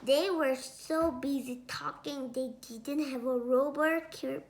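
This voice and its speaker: A high, bright young female voice reads a children's story aloud. She goes slowly and carefully, a little halting, sometimes restarting a word. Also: steady tone, a little hiss or hum. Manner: halting, word-by-word reading with self-corrections. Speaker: storybook reader.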